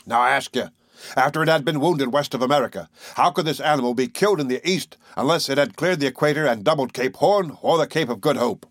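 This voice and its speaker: A man voicing an excitable, boastful Canadian whale harpooner in an audiobook, blunt and emphatic. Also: medium pitch, quick pace, no echo, studio quality, pitched above the performer's own voice, close to an everyday voice. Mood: skeptical, indignant. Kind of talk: audiobook character voice.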